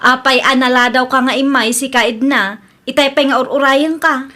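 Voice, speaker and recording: A woman acting a bossy, high-voiced Ilocano woman. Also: fairly even intonation, moderate pace, heavy background noise, close to an everyday voice. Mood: bossy, impatient. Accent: Ilocano Filipino. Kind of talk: Ilocano radio comedy drama acting.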